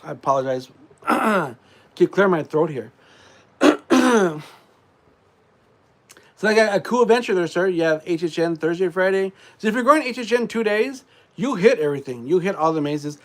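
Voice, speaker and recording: Congested male streamer with a slightly high voice, loose rambling delivery full of ums. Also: expressive, normal speed, pauses often. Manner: rambling, frequent fillers and throat clearing. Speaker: live streamer. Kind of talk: casual live stream chat.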